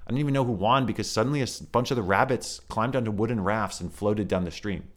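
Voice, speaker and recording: A man voicing a prickly, skeptical girl with a sharp, dismissive edge. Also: lowish voice, quick pace, pitched above the performer's own voice, lightly acted. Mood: excited. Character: skeptical, prickly older sister.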